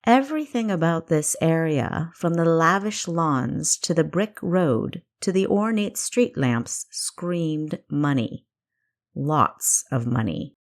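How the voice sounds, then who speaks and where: A woman's voice: low-pitched, expressive, slow. A woman reading scripted story narration as the narrator of an audiobook. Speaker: author narrating own audiobook.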